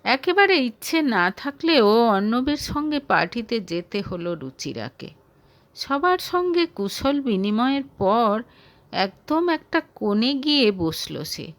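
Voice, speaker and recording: A woman's voice: fairly high pitch, very animated, slow, no room sound. A woman reading a romantic story aloud as scripted narration.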